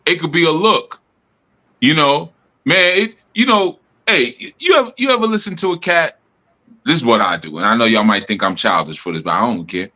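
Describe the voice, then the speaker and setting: Bright-voiced man, loose teaching talk. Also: a little high, big pitch swings, a little fast. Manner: loose, laughing, lots of "you know" and "man". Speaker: spiritual group leader.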